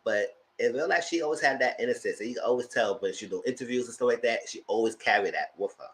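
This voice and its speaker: A man with a light, high-pitched voice, chatting casually as host of a live documentary review and greeting viewers. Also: fast talker, poor audio. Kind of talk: casual live-stream commentary.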